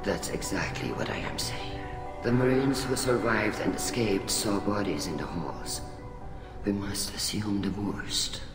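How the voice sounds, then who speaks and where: An actor playing a weary, fatherly older alien admiral in a video game, speaking in a measured, formal way. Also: fairly low pitch. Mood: grave. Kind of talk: video game dialogue acting. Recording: echoey hall reverb, music behind.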